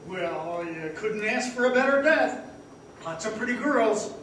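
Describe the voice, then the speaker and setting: An actor playing a bright, inquisitive, boyish young fellow in an old-time radio drama on stage. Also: male voice, high-pitched, expressive, measured pace. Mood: breezy. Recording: very echoey, distant stage recording, faint noise.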